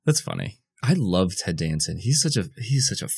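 A man's voice: low voice, moderate pace, slightly rough. A man co-hosting a podcast, chatting casually about films with frequent fillers and laughs. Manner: relaxed, laughs often, many fillers. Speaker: podcast co-host. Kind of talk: casual chat.

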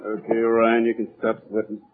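An actor playing a cool, wisecracking body snatcher with deadpan gangster slang, in 1940s radio drama style. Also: male voice, on the low side, normal speed, close to an everyday voice. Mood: hard, cool. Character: cool, wisecracking male body snatcher, street-tough crook. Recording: tinny, hissy old 1940s radio recording.

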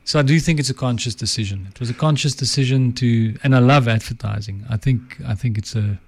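A middle-aged South African man with an Afrikaans accent, a podcast guest talking calmly and reflectively about his life. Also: mid-range voice, brisk, husky. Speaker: guest, advertising entrepreneur and author. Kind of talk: reflective interview talk.

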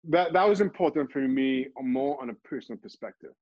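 Halting man from Trinidad and Tobago. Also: mid-range voice, expressive, no room sound, lo-fi sound. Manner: halting, many restarts and repeated words. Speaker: football pundit and show host. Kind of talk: candid interview answers.

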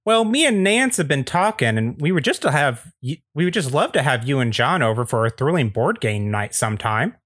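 A man's voice: medium pitch, very animated, a little fast, hardly any pauses, a bit bright, no echo, studio quality, pitched above the performer's own voice. A man voicing a chipper, nosy suburban male neighbor with over-friendly, folksy phrasing in a game. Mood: cheerful, prying.

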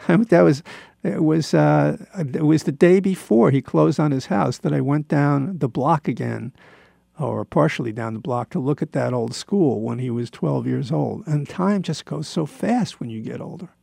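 A man in his seventies giving a rambling personal monologue in a halting, reflective, self-deprecating way, with a gravelly voice. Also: on the high side, mellow, no room sound. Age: 70s.

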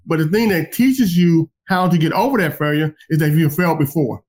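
A man's voice: on the high side, a little fast. A man hosting an interview and giving motivational advice in a lecturing way. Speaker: host. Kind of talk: encouraging interview talk.

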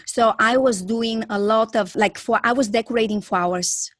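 A woman's voice: a little high, moderate pace, no room sound. A woman in her thirties with a Bulgarian accent, telling her story enthusiastically as an interview guest. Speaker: podcast guest, pet photographer.

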